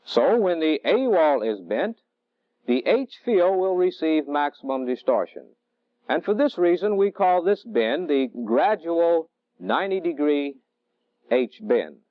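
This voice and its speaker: A middle-aged man lecturing as a military technical instructor in a deliberate, didactic way, on a vintage training film soundtrack. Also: on the high side, dry recording, lo-fi sound. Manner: deliberate, didactic, measured phrasing. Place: indoor training film studio.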